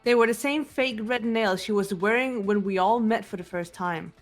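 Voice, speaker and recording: Woman plays confident teen girl detective. Medium pitch, precise, assured, cool-headed. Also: normal speed, soft background music, close to an everyday voice. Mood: analytical. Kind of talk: amateur video game voice acting.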